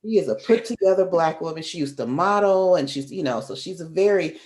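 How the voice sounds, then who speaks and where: A woman's voice: on the low side, expressive, brisk, darkish tone. A woman from Chicago, a guest on a podcast, telling stories about her upbringing in careful, proper speech. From Chicago, US Midwest. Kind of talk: casual chat.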